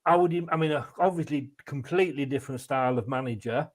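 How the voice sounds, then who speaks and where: A man's voice: medium pitch, fast. A middle-aged man with an English accent hosting a casual interview, chatty and rambling in his questions. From England. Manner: chatty, rambling, chuckles between phrases. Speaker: fan channel host, interviewer.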